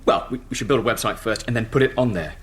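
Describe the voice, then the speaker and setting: A man's voice: medium pitch, quick pace, close to an everyday voice. An actor playing an earnest, eager and easily exasperated British man trying to organise his colleagues in a radio sitcom.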